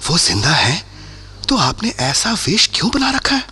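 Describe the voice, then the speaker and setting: A man's voice: fairly high pitch, huge pitch swings, moderate pace, sharp tone, slightly rough, soft background music, full character voice. A man acting a principled freedom fighter in a Hindi radio play, formal, earnest and respectful. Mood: concerned.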